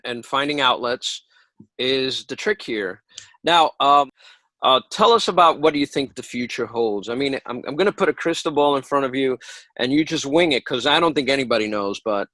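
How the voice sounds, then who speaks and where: A man's voice: medium pitch, lively intonation, normal speed, bright, forceful tone, no echo. A man hosting a casual interview, talking in an encouraging, complimentary way. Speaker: interview host.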